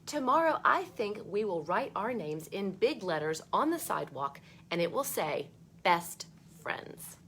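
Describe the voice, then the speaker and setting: A woman hosts a children's storytime in a medium-pitched voice. She reads expressively and adds chatty asides aimed at young listeners. Ambient noise is clearly audible behind her. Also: sing-song, unhurried, fairly bright tone. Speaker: storytime host reading a picture book aloud.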